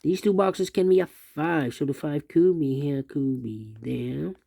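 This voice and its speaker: A man's voice: fairly high pitch, a little slow, gravelly, mellow, dry recording. A man giving an unscripted puzzle tutorial, thinking aloud in methodical, repetitive sing-song phrases. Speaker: puzzle instructor.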